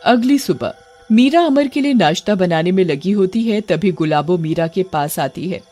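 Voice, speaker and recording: A narrator telling a cartoon folk tale in a steady, matter-of-fact storybook manner. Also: medium pitch, moderate pace, faint music behind.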